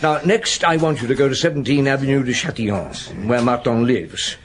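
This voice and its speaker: An actor playing a middle-aged police chief inspector in a radio drama, dogged but kindly, musing with gruff authority. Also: male voice, mid-range voice, brisk, natural voice. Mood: brisk, instructing. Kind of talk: naturalistic radio drama acting.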